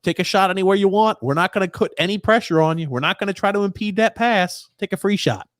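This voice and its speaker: A man's voice: high voice, fast talker, dry recording. Dry-sounding man calling the game live. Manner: excitable, swearing, reads viewer chat. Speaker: podcast host. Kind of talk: live game watchalong commentary.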